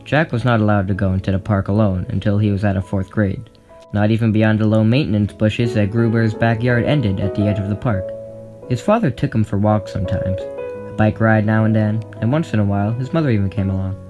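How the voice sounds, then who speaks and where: Low-voiced male narrator over guitar music. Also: fairly even intonation, a little fast. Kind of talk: scripted audiobook-style narration. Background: plucked guitar music.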